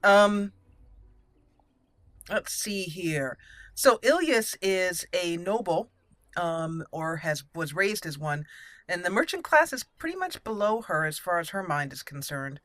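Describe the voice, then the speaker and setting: Bright-voiced woman doing game commentary. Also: on the low side, animated, unhurried, no echo. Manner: chatty, giggly, thinking aloud. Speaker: gaming video host. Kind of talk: casual roleplay game commentary.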